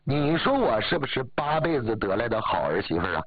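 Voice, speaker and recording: A man voicing a proud, grateful old village grandmother who brags about her daughter-in-law, his voice husky. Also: mid-range voice, sing-song, no echo. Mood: boastful. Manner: boastful, exclaiming, drawn-out sentence endings. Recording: tinny phone-like audio.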